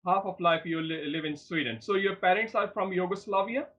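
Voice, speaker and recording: Husky high-voiced male studio host. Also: a little fast, a bit bright, lo-fi sound. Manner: repeats and restarts phrases, upbeat promo pitch. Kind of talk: studio interview.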